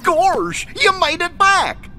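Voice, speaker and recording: Goofy cartoon dog, wildly swooping pitch. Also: male voice, very animated, slow pace, noisy background, heavily acted. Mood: eager. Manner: folksy, bumbling, eager. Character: goofy, good-natured, lanky cartoon dog. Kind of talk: video game cartoon acting. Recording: game music underneath.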